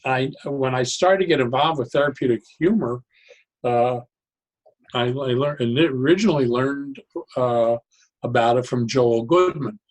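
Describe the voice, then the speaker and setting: An older man talking reflectively as an interview guest, deliberate and halting, often stopping and restarting his phrases. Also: medium pitch, low-quality recording. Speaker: interview guest, psychologist and humor educator.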